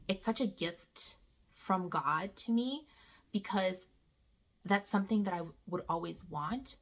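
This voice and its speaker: A chatty woman in her thirties talks casually to her viewers about homeschooling, calling them "guys" as she goes. Her voice sits on the low side and has a gravelly, fry-edged texture. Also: a bit bright. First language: not Portuguese. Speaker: homeschool vlogger. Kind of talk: casual chat to camera.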